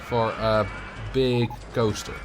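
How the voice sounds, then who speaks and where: Young Dutch-accented man, relaxed streamer chat. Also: on the low side, takes it slowly, background noise. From Netherlands. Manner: relaxed, rambling, answers chat with fillers. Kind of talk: casual livestream chat while building a theme park game.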